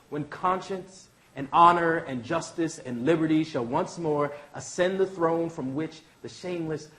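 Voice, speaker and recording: A man's voice: fairly high pitch, lightly acted, rough recording. A man reading the part of an earnest, idealistic lawyer in a staged play, with a declamatory, oratorical delivery. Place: indoor launch event venue.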